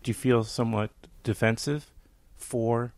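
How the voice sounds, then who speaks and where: A man's voice: mid-range voice, steady tone, measured pace, darkish tone, no echo. A man hosting an interview, asking loose, rambling questions with frequent restarts. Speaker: podcast host.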